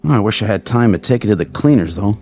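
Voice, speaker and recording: Washed-up ballplayer, American accent, swooping intonation, crackly voice, humble, hesitant, old-time radio play. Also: male voice, lowish voice, lively intonation, fast talker, warm tone. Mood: self-conscious, grateful. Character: down-on-his-luck ex-ballplayer, humble, doubtful, earnest. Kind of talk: vintage radio drama acting.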